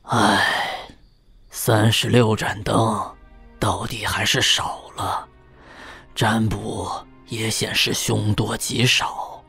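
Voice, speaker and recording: A frail old artisan in a wuxia radio play. His voice is very raspy and creaky, and he speaks slowly, gloomy and knowing. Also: on the low side, level tone, sharp tone, soft background music, exaggerated voice. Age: older. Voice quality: husky, gravelly. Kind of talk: Chinese wuxia audio drama acting.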